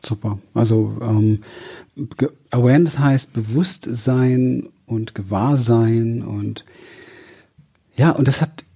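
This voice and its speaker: A thoughtful man speaks calmly in conversation, pausing to gather his words. His voice is gravelly and husky, with a mellow, dark tone. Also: mid-range voice. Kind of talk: conversational talk.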